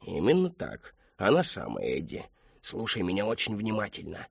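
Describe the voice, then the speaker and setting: A man's voice: low-pitched, huge pitch swings, moderate pace, vocal fry, breathy edge, no room sound, muffled recording, heavily acted. A man voicing a sly robot cat that speaks as a grandmother in a conspiratorial whisper, for a story. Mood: secretive, warning. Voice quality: hushed whisper. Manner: conspiratorial, instructing.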